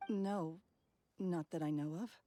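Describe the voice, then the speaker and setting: A guarded young woman in mourning, with a slightly low voice and clipped, weary answers. She keeps strangers at arm's length. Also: slow pace, mellow, slight background noise. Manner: curt, tired, sighing. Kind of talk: video game dialogue.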